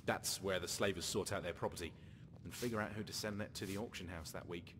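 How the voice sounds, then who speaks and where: Male actor as a game rogue: low, animated, wry scheming slaver-hunter in a reverberant space. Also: quick pace, noisy background, close to an everyday voice. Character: sly male slaver-hunter rescuing his lover. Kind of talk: game character dialogue.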